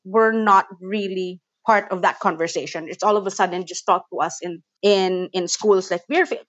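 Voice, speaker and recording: A woman's voice: mid-range voice, fairly even intonation, moderate pace, no echo, dull audio. A Cebuano actress in a casual interview, with a Filipino accent, laughing often and restarting her sentences. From Cebu, Philippines. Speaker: actress guest.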